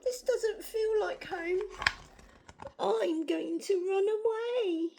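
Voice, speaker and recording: A woman voicing a young, innocent duck-billed dinosaur from a storybook, excitable and wide-eyed in manner. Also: extremely high pitch, slow, a little hiss or hum, voice pushed up, full character voice. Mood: dismayed.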